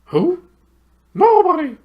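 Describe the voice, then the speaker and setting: A man voicing a sleepy, fussy old male owl who hoots as he talks, in a very high strained falsetto. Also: wildly swooping pitch, slow pace, dull audio, full character voice. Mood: fussy hooting. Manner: hooting, breathless.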